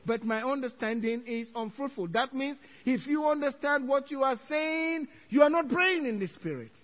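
High-voiced Nigerian pastor preaching. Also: male voice. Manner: emphatic preaching, quoting scripture, chuckling asides to the congregation. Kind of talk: evening church sermon. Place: church sanctuary. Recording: dull lo-fi church recording.

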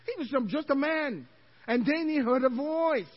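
A man's voice: very high-pitched, lively intonation, a little slow. A middle-aged Nigerian pastor with a Nigerian accent, preaching an evening sermon with emphatic scripture quotes and chuckling asides to his congregation. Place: church sanctuary. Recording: dull lo-fi church recording.

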